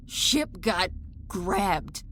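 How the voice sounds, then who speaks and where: A woman playing an injured enemy soldier in an audio drama, defiant yet rattled, speaking in a halting, bitter, incredulous way. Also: medium pitch, lively intonation, a little hiss or hum. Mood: shaken, disbelieving.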